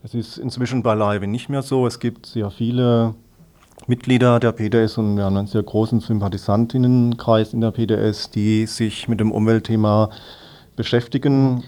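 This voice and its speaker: Low, flat-toned man explaining his position. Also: moderate pace. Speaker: political spokesman, interview guest. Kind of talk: radio interview, explaining.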